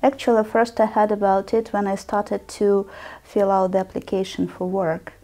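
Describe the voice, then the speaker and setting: Woman learner, mellow darker tone, a bit hesitant. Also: mid-range voice, level tone, normal speed.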